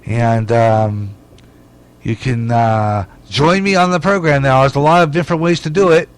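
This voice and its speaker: A man hosting an internet radio show in a casual, folksy way, rambling and halting at times. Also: mid-range voice, clean tone. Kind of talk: casual radio host talk and station promos.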